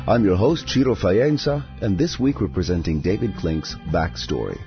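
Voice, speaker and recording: A man hosting a poetry podcast, reading scripted material in a measured, deliberate way with a husky voice. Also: low-pitched, very animated, muffled recording, soft background music. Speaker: podcast host and poetry reader.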